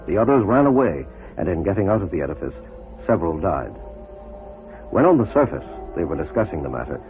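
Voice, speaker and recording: Male narrator, very low voice, animated yet measured dramatic reading, music behind, muffled old radio recording. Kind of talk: scripted documentary narration.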